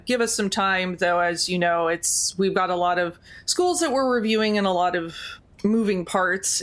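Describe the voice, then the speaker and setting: A woman's voice: lowish voice, a bit bright, natural voice. Woman playing a formal, firm school program reviewer. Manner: formal, measured, businesslike. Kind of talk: improvised tabletop roleplay.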